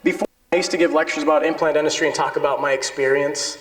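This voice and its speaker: A man's voice: on the high side, steady tone, fast talker. American man lecturing in an echoey hall. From born in Peru, grew up in the United States. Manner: informal, conversational, self-deprecating. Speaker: lecturer, dental implant surgeon. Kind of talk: conference lecture with personal anecdotes. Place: indoor lecture hall with an audience. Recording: echoey hall with long reverb.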